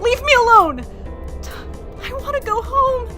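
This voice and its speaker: A stubborn, lonely young agent with a very high-pitched voice. She is frantic and stammers and swears her way through an audio drama, with music playing behind her. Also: animated, unhurried, sharp tone, full character voice. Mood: distressed.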